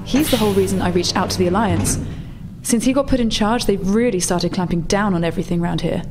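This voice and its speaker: A woman playing a brisk, practical rebel contact in a tabletop roleplay, explaining things matter-of-factly. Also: medium pitch, music in the background, lightly acted. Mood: flustered. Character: female rebel contact, brisk, practical, slightly flustered insider technician.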